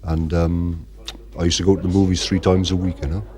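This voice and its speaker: A man's voice: low voice, little pitch movement, a little fast, warm tone. A middle-aged man from Ireland, the band's frontman, talking in a casual interview and rambling with constant "you know" fillers. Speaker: band frontman.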